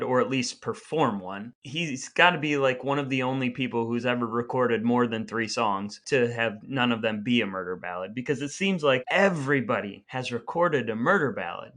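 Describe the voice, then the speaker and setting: A man's voice: mid-range voice, moderate pace, few pauses, fairly bright tone. A man co-hosting a podcast in a casual, explanatory way, chatting and sharing background with listeners. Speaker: podcast co-host. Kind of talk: casual chat with some reading aloud from an article.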